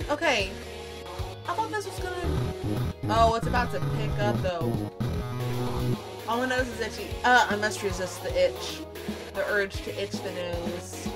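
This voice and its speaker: A woman's voice: mid-range voice, measured pace. A woman giving casual, rambling, exclaiming reaction commentary while she plays a video game as host. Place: indoors, seated at a gaming setup. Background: loud game music.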